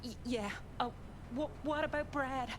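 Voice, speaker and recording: A terrified young woman freshly freed from captivity, her voice high and stammering. She sounds shaken and fragile. The game audio carries a clear layer of background noise. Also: unhurried. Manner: panicked, stammering. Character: frightened young woman kidnapping victim.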